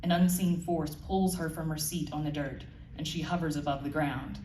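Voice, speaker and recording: A woman's voice: deep voice, fairly even intonation, normal speed, warm tone. A woman giving a live scripted narration of her audio drama, heard in a roomy space away from the mic. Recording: echoey room, distant mic, faint noise.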